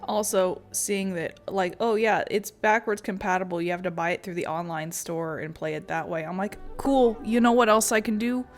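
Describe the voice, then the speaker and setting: A young adult woman giving casual, opinionated commentary as she streams a game, blunt, exasperated and quick to swear. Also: medium pitch. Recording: game music under the voice. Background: music.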